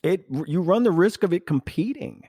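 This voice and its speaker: A man's voice: high voice, animated, moderate pace, mellow, husky, no echo, clean studio sound. A man hosting a casual conversational podcast interview in an effusive, emphatic, rambling way. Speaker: podcast host.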